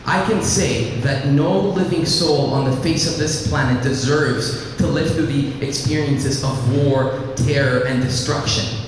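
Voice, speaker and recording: A man's voice: mid-range voice, noisy background. Teenage boy speaking in an echoey hall. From Iraq, raised in Lebanon. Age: teens. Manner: earnest, measured storytelling with deliberate pauses. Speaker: student speaker. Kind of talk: personal speech to an audience. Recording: echoey hall, mic at a distance.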